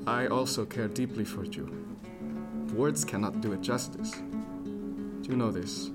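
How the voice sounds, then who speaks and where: An actor playing an anxious, frank ship's doctor of the 1710s in a radio drama, with formal old-fashioned phrasing and an earnest manner. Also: male voice, mid-range voice, a little slow, close to an everyday voice. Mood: tender, earnest. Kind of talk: serial radio drama acting, period seafaring. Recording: music behind the voice, plucked guitar.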